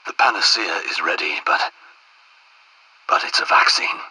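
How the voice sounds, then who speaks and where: Anxious, husky English royal doctor. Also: male voice, deep voice, moderate pace, pushed and bright, exaggerated voice, low-quality recording. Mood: grave, determined. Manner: well-spoken, hesitant, polite. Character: anxious, earnest British royal doctor amid a deadly outbreak. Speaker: video game voice actor. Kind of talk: dramatic game dialogue and audio diary entries.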